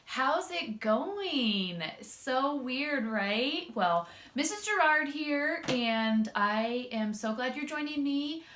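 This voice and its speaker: A woman doing an expressive children's read-aloud, drawing out words and speaking straight to young listeners. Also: sits high, slight background noise. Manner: expressive, drawn-out emphasis, addresses young listeners directly. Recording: echoey room.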